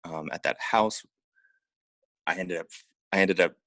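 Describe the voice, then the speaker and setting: Low-voiced man telling his story casually. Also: a little slow, a bit bright, dry recording. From Oregon, United States. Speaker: interview guest, acupuncturist and massage therapist. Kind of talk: casual personal storytelling in an interview.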